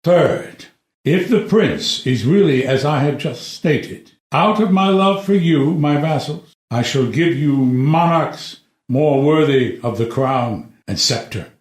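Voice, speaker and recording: An actor playing a proud, solemn older king in a stage play reading, speaking in deliberate oratory with weighty pauses. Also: fairly high pitch, lively intonation. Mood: regal, resolute. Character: proud, solemn older king justifying his decrees to vassals. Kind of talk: dramatic reading of a classic verse stage play. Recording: echoey room.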